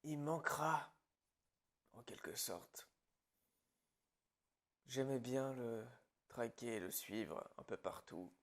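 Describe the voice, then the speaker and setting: A man playing a calm psychopathic killer in an ASMR roleplay, speaking lines in a hushed, darkly casual way that slips into whispers. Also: mid-range voice, slow, pauses often. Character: calm, menacing male psychopathic killer, falsely reassuring his captive.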